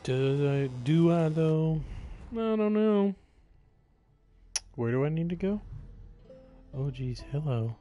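A man's voice: a little high, expressive, takes it slowly, long pauses, mellow, soft background music. A man giving casual live gameplay commentary as a streamer, flippant and repetitive, with loose swearing. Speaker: game streamer.

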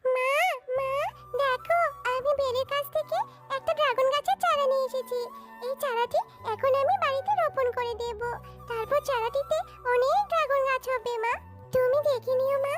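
A woman's voice: very high voice, steady tone, moderate pace. A woman voicing an earnest, childlike little animal girl in a cartoon, with a pleading manner. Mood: excited. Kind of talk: cartoon voice acting. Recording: music behind the voice.

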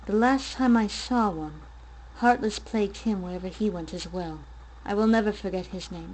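A woman voicing a gentle motherly guardian, speaking softly in a measured, sorrowful way. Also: medium pitch, a little hiss or hum, natural voice, rough recording. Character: gentle, wistful motherly guardian of many children.

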